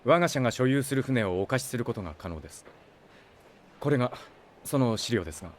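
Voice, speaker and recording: A man's voice: mid-range voice, animated, quick pace, slight background noise, lightly acted. A male actor playing a polite corporate representative in a Japanese audio drama, speaking formally and in a businesslike way.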